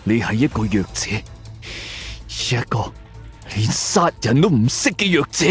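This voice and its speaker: A man's voice: mid-range voice, darkish tone. A man narrates a suspense story in the first person, dramatically.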